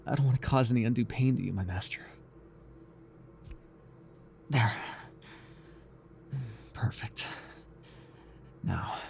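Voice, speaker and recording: A man voicing a devoted, nervy, lovestruck cultist in an ASMR roleplay, earnest and halting, shifting between grand and flustered delivery. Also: medium pitch, vocal fry, darkish tone, a little hiss or hum. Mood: reverent, careful. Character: devoted, awkward young male cultist, zealous, lovestruck, nervy.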